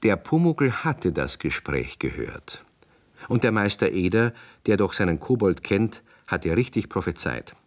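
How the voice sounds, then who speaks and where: Male storyteller, low-pitched voice, very varied intonation, scripted radio play narration. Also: very animated, a little slow.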